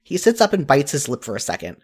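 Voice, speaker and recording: Expressive, lively storytelling narrator. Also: mid-range voice, fast talker, slightly rough. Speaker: narrator of a fan fiction audio reading.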